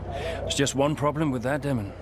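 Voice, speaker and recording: An actor playing a weary, dignified veteran racing driver in a video game, speaking in a measured, wry and quietly firm way. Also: male voice, medium pitch, noisy background, close to an everyday voice. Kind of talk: video game cutscene acting.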